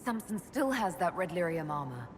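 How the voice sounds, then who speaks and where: A woman's voice: on the low side, very animated, moderate pace, slight background noise. A woman playing a composed, wry female leader in a dramatic video game, with a dry delivery. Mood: serious. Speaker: military-religious leader. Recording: reverberant hall with music behind.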